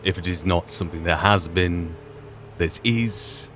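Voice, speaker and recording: A man's voice: low voice, measured pace, a bit bright, a little hiss or hum. A man giving reflective solo commentary on a roleplay playthrough, posing philosophical questions to himself as he goes. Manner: musing, philosophical, poses rhetorical questions. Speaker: gamer narrating a roleplay playthrough. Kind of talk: reflective solo game commentary, musing in and out of character. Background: video game music.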